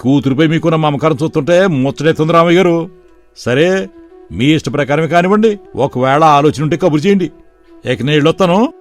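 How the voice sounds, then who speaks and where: A fawning middle-aged village elder, lifted well above a natural speaking pitch, as a man plays him. He talks in an eager, flattering way, pressing a marriage match in an animated storybook. Faint music sits behind him. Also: fairly quick, lightly acted. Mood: ingratiating.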